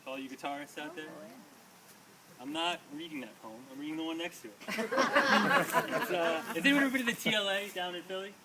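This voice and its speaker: A man's voice: a little high, animated, a little fast, long pauses. A man performing his own poems at a live reading, with an emphatic, rhythmic delivery and casual asides. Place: small live reading before an audience. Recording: distant mic, noisy live room.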